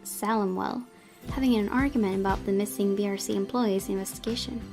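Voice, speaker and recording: A young woman hosts a playthrough, reading visual novel prose aloud in a medium-pitched voice. She slips between narration and casual commentary over faint music. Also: fairly even intonation, a little fast. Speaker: gaming video host.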